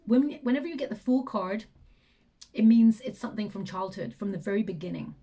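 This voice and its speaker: A woman giving a tarot reading, talking softly and reflectively as she thinks aloud, her voice going hoarse and throat clearing. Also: mid-range voice, animated, brisk. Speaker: tarot reader.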